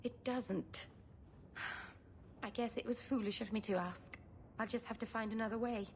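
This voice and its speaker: A young elf woman, a scholarly mage in a fantasy video game. She is earnest and stubborn, with a halting, imploring delivery over some background noise. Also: a little high, fairly even intonation. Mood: pleading. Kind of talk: video game dialogue acting.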